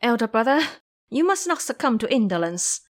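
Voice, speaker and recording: A woman's voice: on the high side, sing-song, normal speed, pitched above the performer's own voice. Audio drama, woman as young male dragon-horse, earnest, pleading, loyal. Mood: tearful pleading. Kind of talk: audio drama character acting.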